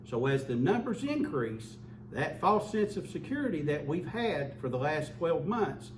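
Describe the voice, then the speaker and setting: A man's voice: a little high, very animated, unhurried. A man giving an earnest public health update as a local official, often repeating words as he restarts. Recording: echoey room, mic far off, faint hum.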